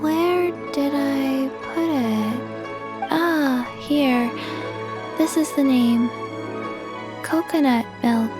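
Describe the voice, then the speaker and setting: Very slow, deadpan little zombie girl. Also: high-pitched. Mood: apologetic, vague. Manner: deadpan, halting, short simple sentences. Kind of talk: video game voice acting. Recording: music under the voice.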